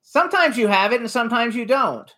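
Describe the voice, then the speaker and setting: A man's voice: very high voice, lively intonation, a little fast, bright, forceful tone. A man co-hosting a radio talk show, brash and casual, swearing and laughing as he tells stories.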